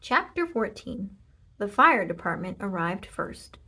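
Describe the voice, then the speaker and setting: Husky woman reading a children's story. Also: mid-range voice, sing-song, measured pace, sharp tone. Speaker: storybook reader. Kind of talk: expressive children's read-aloud narration.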